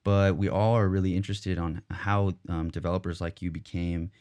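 Deep voiced guy hosting a podcast, upbeat and rambly. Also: steady tone, moderate pace, a little mellow. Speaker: podcast host. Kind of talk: casual interview chat.

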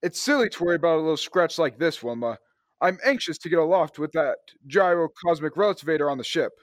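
A man's voice: on the high side, expressive, quick pace, no room sound, studio quality, natural voice. A man acting an eager, impatient space adventurer in an old-time radio drama. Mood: impatient, dismissive. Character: eager, impatient male space adventurer hero, brushing off fuss. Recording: clearly noisy background ambience.

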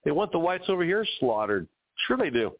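A man's voice: on the high side, big pitch swings, brisk. A man hosting an opinionated call-in radio show, talking emphatically and addressing listeners directly. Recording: narrow phone-like radio sound.

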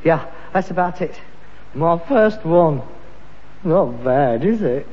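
An actor playing a stubborn, defensive adult son in a 1960s radio sitcom. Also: male voice, a little high, expressive, takes it slowly. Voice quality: defensive, exasperated. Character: touchy adult son, proud of his handiwork and stubborn. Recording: dull old radio recording with long reverb and faint hiss.

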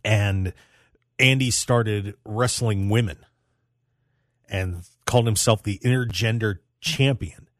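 An American man hosting a sports talk radio show, telling stories in an animated way with emphatic repetition. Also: on the low side, slow pace, no room sound, studio quality.

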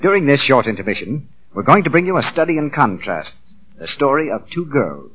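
A man's voice: medium pitch, sing-song, brisk, lo-fi sound, very noisy. A man announcing a 1940s radio show, reading scripted sponsor copy in a period broadcast style.

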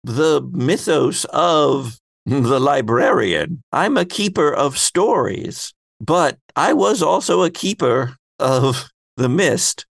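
Man voicing a measured, secretive librarian woman. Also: a little high, expressive, creaky, dry recording, studio quality, full character voice. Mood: torn, reflective. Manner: formal, measured, expository. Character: torn, secretive librarian woman, keeper of stories in hiding.

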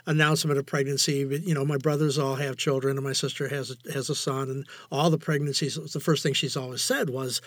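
Older man, medium pitch, reflective interview guest, halting delivery, repeated phrases, dry room sound. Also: flat delivery, no room sound. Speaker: interview guest, amateur writer.

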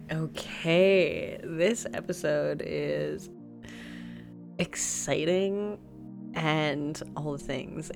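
Sniffly, gravelly-voiced woman podcaster. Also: sits low. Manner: frank and heavily profane, rambling with fillers. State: sniffly from a cold. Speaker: podcast host. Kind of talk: candid solo podcast monologue.

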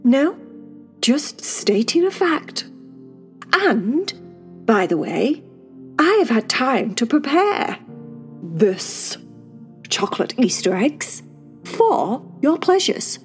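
A woman's voice: high-pitched, big pitch swings, extremely slow, pauses often, faint music behind, voice pushed up, exaggerated voice. Woman doing a sarcastic pet rabbit. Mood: matter-of-fact. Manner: drawling, matter-of-fact, mildly sarcastic. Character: sarcastic dry-witted male pet rabbit.

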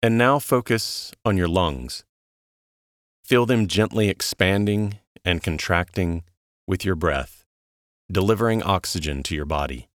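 A man with a low voice leads a guided relaxation. He speaks very slowly and calmly, with soothing, measured instructions and long pauses. The sound is dry, with no room around the voice. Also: no echo. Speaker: guided meditation narrator. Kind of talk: slow guided relaxation narration.

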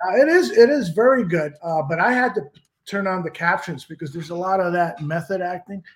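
A man with a high voice hosts a casual podcast chat. He talks loosely, swears freely and laughs easily. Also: fairly quick. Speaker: podcast host.

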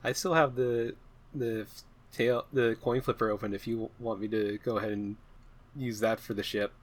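A man chatting casually as a player in a tabletop game, thinking aloud haltingly. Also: fairly low pitch, fairly even intonation. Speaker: player in an actual play podcast.

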